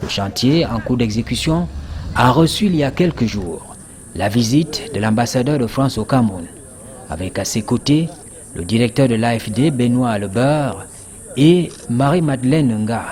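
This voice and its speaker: A male reporter delivers a scripted news narration at a middling pitch. He reads formal, prepared copy as the voice of a report. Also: lively intonation, normal speed, a little mellow.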